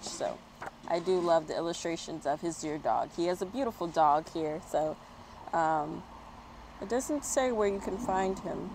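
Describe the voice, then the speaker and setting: A woman's voice: on the low side, poor audio. Woman reading to children over background noise. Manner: expressive, spells words out slowly. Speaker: story time host. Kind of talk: children's picture book read-aloud.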